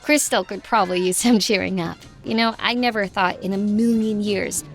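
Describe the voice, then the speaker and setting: A woman's voice: medium pitch, steady tone, normal speed, gravelly, natural voice. A woman playing a breezy, teasing, boy-crazy teenage girl in a conversational audio drama. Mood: concerned. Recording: music under the dialogue.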